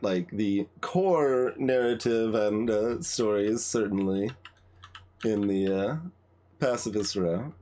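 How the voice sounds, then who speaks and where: A man's voice: fairly low pitch, dark tone. A man giving casual commentary on a game playthrough, musing and thinking aloud as he goes.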